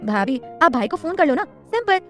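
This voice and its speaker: A woman's voice: high-pitched, sing-song, moderate pace, a bit bright, faint music behind, pitched above the performer's own voice. A woman voicing a mischievous young sister-in-law in an audio story, bubbly, exclaiming and teasing.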